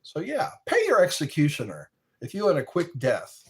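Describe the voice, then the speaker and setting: A man's voice: a little high, huge pitch swings, normal speed, a bit bright. A middle-aged man hosting a live stream, rambling in casual chat, reacting to viewers and laughing at his own jokes.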